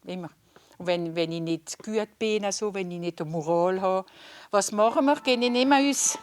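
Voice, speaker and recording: A woman's voice: mid-range voice, normal speed. A woman regular customer talking eagerly, repeating herself as she goes.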